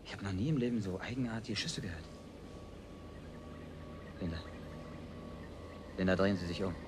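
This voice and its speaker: A man's voice: deep voice, moderate pace, noisy background, natural voice. An actor playing a frightened but commanding, bitterly sarcastic man in a German science-fiction radio play. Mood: grim, commanding. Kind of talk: German radio play acting, dramatic dialogue.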